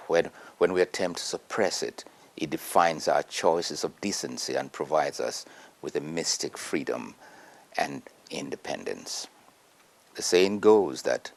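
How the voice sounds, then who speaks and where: A man reading a prepared essay aloud in a measured, earnest way. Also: sits low, vocal fry. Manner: measured, earnest oratory with emphatic rises.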